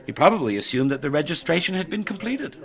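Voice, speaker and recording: A man's voice: a little high, big pitch swings, quick pace, a bit bright. A man playing a prim, slyly gossipy hotel desk clerk in a radio drama, precise and insinuating.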